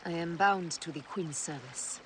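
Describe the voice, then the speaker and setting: An actress playing a resolute, grieving Egyptian wife and mother, solemn and measured, in a dramatic video game. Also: fairly low pitch, a little hiss or hum, natural voice.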